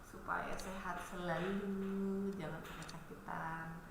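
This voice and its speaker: Woman live-stream host, nasal from a cold, chatty and friendly; slightly low voice; very reverberant room, audible background noise. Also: little pitch movement, takes it slowly, creaky. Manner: chatty, friendly, reads viewer comments aloud. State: stuffy nose from a cold. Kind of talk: casual live chat greeting viewers.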